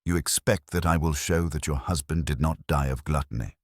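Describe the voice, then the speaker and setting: A man's voice: rumbling low, fairly quick, dry recording, studio quality. A man voicing an imperious, exacting genius detective, pompous and formal, in an audiobook. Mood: measured scrutiny.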